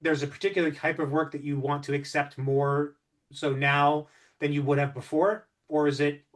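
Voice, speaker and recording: A man's voice: a little high, level tone, moderate pace. A man working as an interviewer, chatting casually with a film fan's enthusiasm. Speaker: interviewer, podcast host.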